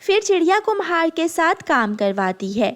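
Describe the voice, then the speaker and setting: A woman storyteller with a high-pitched voice. She reads scripted narration for a children's cartoon tale, with very animated, melodic intonation. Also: normal speed.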